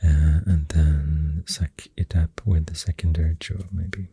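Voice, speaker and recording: ASMR host, Swedish accent, very breathy and hushed, slow unhurried rambling, close dry mic. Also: extremely low pitch, steady tone, dry recording. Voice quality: soft, breathy, whispery. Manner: hushed, hesitant, gentle rambling delivery drifting into whispers. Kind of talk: soft-spoken ASMR, rambling facts while drawing. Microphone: close ASMR microphone. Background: pencil writing and clicking sounds.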